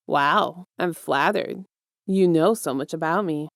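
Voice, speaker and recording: A woman voicing a smug, affectionate male villain mastermind with a soft, teasing, self-assured manner in an audio story. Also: sits low, unhurried, no echo, clean studio sound. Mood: smug, amused.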